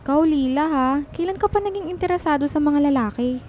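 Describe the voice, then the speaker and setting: A woman's voice: high voice, fast, a little mellow, a little hiss or hum, natural voice. A woman playing a cheerful, caring and teasing young best friend in a radio drama.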